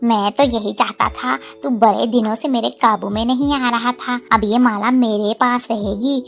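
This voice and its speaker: A sly, greedy male cartoon crow, acted by a woman in a fairly high voice. He wheedles and schemes his way through the lines of a moral story, posing as a friend. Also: steady tone, unhurried, vocal fry, faint music behind. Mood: gloating. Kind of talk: animated moral story dialogue.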